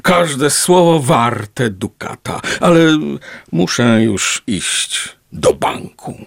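Spieszącego się kawalera, żywa intonacja, powolna mowa. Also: male voice, medium pitch, animated, extremely slow, creaky, a bit bright, exaggerated voice. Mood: flattering. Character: flattering gentleman suitor in a hurry. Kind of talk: radio drama acting.

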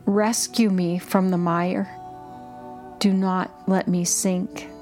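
A woman guiding contemplative prayer, reading in short, measured and deliberate phrases. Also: lowish voice, flat delivery, darkish tone. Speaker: prayer guide and podcast host. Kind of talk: slow, repetitive guided contemplative prayer reading. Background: music under the voice.

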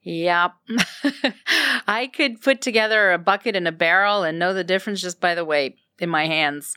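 Russian-native woman guest, smooth lowish voice, thoughtful and hesitant, dry close mic. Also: normal speed, sharp tone, no echo. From Ukraine. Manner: thoughtful, many ums, laughs lightly. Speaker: guest, fashion brand founder. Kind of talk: casual interview chat.

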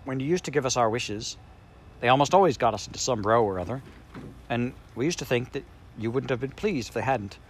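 A man's voice: medium pitch, fairly quick, slight background noise, close to an everyday voice. A man voicing an awkward schoolboy in an audiobook, talking in a hesitant, rambling way.

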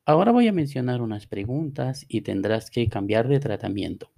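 A man teaching a Spanish lesson on a podcast, reading example phrases slowly and deliberately. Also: medium pitch, expressive, creaky, warm tone. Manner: slow, deliberate, reads model dialogues aloud. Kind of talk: scripted language lesson podcast.

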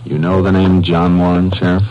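An actor playing a calm, businesslike Texas Ranger in a 1950s radio drama, terse and matter-of-fact. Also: male voice, low voice, moderate pace, dull audio, a little hiss or hum, lightly acted. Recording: old-time radio recording with music.

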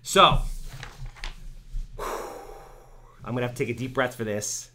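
A man's voice: medium pitch, slow pace, a loud crowd. A man in his thirties hosting a casual podcast, joking and hyping up his guest. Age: 30s. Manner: joking, hyped intro reading. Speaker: podcast host.

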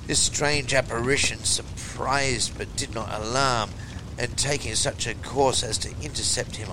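A man giving a theatrical, deliberate and emphatic reading of a horror story as its narrator. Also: fairly low pitch, background noise.

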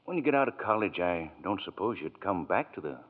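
An actor playing a tired, gentle, principled older father in a vintage radio drama. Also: deep voice, very animated, brisk, long reverb tail, phone-quality sound. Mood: weary, gentle. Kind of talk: 1940s radio acting.

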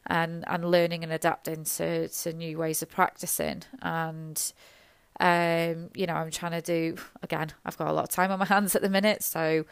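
Hoarse nurse with a gravelly voice, smooth underneath, rambling, self-deprecating monologue. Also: female voice, low-pitched, little pitch movement, normal speed, no echo. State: hoarse from illness. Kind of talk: reflective personal monologue.

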